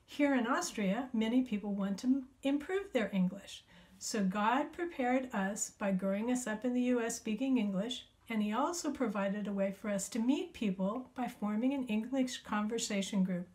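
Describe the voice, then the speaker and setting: A woman in her fifties from the United States, a missionary recording a clear, gentle video message for children from a small room at home. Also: on the high side, normal speed. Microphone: webcam at desk.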